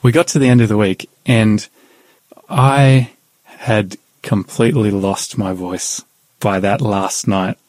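A calm, soft-spoken man with a fairly low voice recounts a personal story to his interviewer, thoughtful and unhurried. The sound is dry and close, with no room echo. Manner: calm, thoughtful, with frequent "you know". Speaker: guest sharing a personal faith story. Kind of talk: reflective storytelling in conversation.

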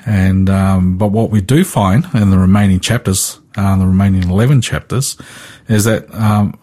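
Husky man with a New Zealand accent. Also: low voice, fairly even intonation, moderate pace, mellow. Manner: easygoing, with many fillers. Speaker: Bible study guest teacher. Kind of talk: relaxed radio Bible study talk.

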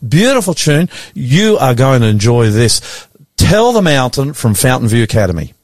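A man's voice: medium pitch, very animated, dry recording, clean studio sound. Chatty Australian man hosting radio. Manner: enthusiastic, chatty, quick to laugh. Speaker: radio host and pastor. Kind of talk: radio talk show chat.

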